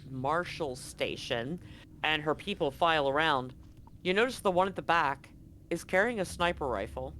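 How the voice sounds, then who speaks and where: A man's voice: on the high side, a bit bright. A man running a tabletop roleplaying game, narrating off the cuff as game master. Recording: live stream with background noise.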